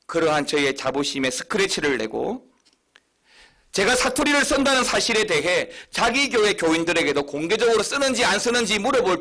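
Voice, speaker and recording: A middle-aged man from Busan, a pastor preaching a sermon with a slight Busan accent, leaning hard on key words with sudden high, loud stresses. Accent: Busan Gyeongsang. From Busan, South Korea.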